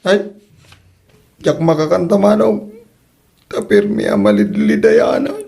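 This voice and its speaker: A man's voice: a little high, wildly swooping pitch, a little slow, creaky, a little mellow, full character voice. A man playing a whiny, self-pitying younger farmer with an Ilocano Filipino accent in a comedy drama, complaining in a plaintive way.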